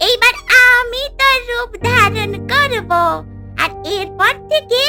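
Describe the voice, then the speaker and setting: A woman's voice: very high voice, slow. A woman playing a scheming female ghost who longs for married life, theatrical and gloating in a fairy-tale cartoon. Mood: gloating menace. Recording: music behind the voice.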